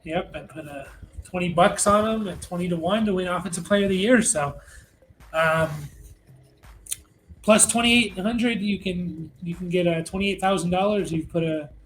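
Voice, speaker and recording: A man's voice: high-pitched, normal speed, a bit bright, a little hiss or hum, low-quality recording. A man hosting a fantasy football show in a casual, conversational sports rankings style.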